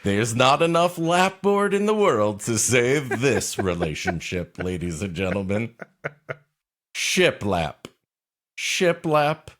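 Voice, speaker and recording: A man's voice: medium pitch, big pitch swings, slow pace, a bit bright. A man co-hosting a comedy podcast, riffing in casual, wry commentary. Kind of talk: casual comic commentary and banter.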